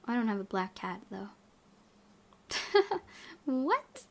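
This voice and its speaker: Girl doing a lets play, reads the story out loud, fry in her voice, casual and expressive. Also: fairly high pitch, slow. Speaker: gaming video host. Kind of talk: reading a game's narration aloud with casual commentary.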